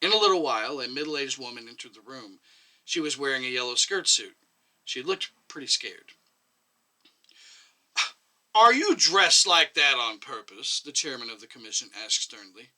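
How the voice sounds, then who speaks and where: Bright-voiced man narrating a short story. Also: mid-range voice, lively intonation, a little fast. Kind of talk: scripted literary narration.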